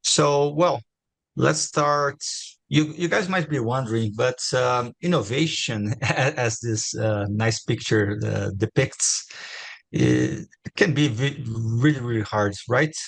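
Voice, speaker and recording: Gravelly Brazilian man on a video call. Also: medium pitch, no room sound. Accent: Brazilian Portuguese. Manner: halting, many fillers and restarts. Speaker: webinar co-host, innovation trainer. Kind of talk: webinar presentation.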